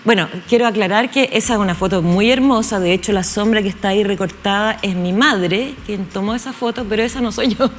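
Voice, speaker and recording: A woman in her fifties from Chile speaks as a studio guest, weighing her words with frequent pauses and restarts. Her voice sits at an everyday middle pitch. A faint ambient hum runs beneath her. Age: 50s. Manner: thoughtful, hesitant, many pauses and restarts. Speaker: guest, actress. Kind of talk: relaxed interview conversation.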